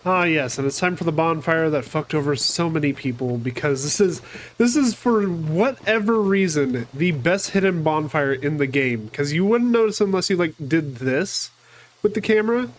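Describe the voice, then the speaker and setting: A man with a cold talks casually over his own gameplay, chatty and a little rough-mouthed. His voice sits a bit high for a man. A faint hiss of noise runs underneath. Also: expressive, moderate pace, rough recording. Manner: chatty, swears casually, talks to enemies on screen. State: fighting a cold. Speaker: gaming channel host. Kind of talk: casual let's-play commentary.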